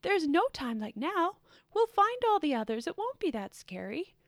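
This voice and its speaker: A woman's voice: high voice, big pitch swings, normal speed, no echo, clean studio sound, pitched above the performer's own voice. A woman voicing a small, brave, kind-hearted male creature who speaks earnestly. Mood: encouraging.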